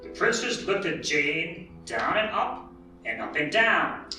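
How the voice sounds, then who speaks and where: A woman narrating a scripted readers theatre story in a measured, deliberate manner. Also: lowish voice, sing-song. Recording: very echoey hall, distant mic, music behind.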